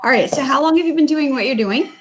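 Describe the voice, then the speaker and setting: A woman's voice: high-pitched, expressive, quick pace. A woman from South Georgia hosting a casual livestream interview, chatty and friendly, with frequent "um"s and "yeah"s.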